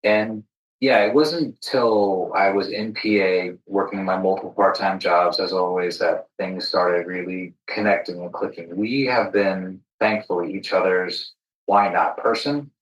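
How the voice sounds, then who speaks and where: A man's voice: deep voice, steady tone, a little slow, dull audio. An American man hosting an informal podcast conversation in a self-deprecating, jokey way. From Virginia, United States. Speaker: podcast host. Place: alternative studio space, indoors. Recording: echoey room.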